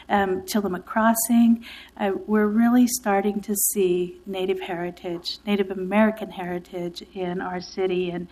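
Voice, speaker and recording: A woman's voice: mid-range voice, darkish tone, poor audio. Earnest woman giving public testimony. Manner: grateful, earnest, speaks with pauses and fillers. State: sore throat. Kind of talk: public testimony at a city meeting.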